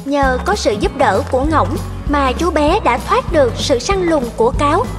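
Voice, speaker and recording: A woman's voice: sits high, animated, moderate pace, over music. A woman reading scripted fairy-tale narration as a storyteller.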